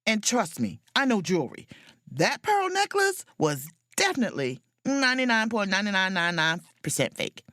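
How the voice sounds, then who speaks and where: Woman acting as confident, lively sleuth heroine, very close. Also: medium pitch, moderate pace, no room sound. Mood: scheming, excited. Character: confident, sharp-witted woman sleuth, proud and flirtatious. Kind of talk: audio drama acting with first-person narration.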